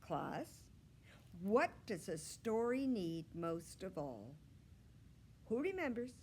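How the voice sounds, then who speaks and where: A woman's voice: mid-range voice, big pitch swings, takes it slowly, a little mellow, slight background noise. A woman reading aloud as a patient, pleasant female primary school teacher, putting questions to a class in an instructive way. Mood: pleasant, prompting.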